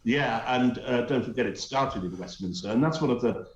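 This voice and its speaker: A man's voice: medium pitch, normal speed, reverberant, lo-fi sound. A middle-aged man with a Teesside accent giving emphatic, scornful commentary on a talk show, hesitating with many uhs. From North East England. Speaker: guest commentator. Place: remote link from home.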